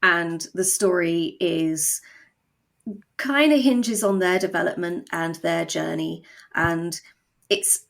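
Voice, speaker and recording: Creaky, low-voiced woman explaining her work. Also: slow pace. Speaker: guest, audio drama co-creator. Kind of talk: conversational interview explanation.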